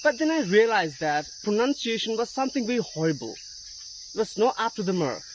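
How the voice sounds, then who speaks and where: Woman, mixed Indian-American accent, earnest teacher, loud crickets behind. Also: mid-range voice, sing-song, noisy background. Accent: Indian English mixed with American. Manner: earnest, explaining, with halting restarts. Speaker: English teacher. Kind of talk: casual talk to camera. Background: loud crickets and insects.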